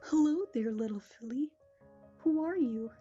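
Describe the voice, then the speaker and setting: A woman playing a calm, kindly royal pony ruler in an audio drama, speaking in a gentle, measured and reassuring way. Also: sits high, slightly rough. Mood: gentle, caring. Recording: muffled, dull audio under music.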